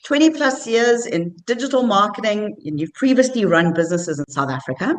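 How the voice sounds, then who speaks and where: A woman's voice: medium pitch, expressive, brisk, poor audio. A woman hosting a business interview podcast, introducing her guest with upbeat, affirming remarks. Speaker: podcast host.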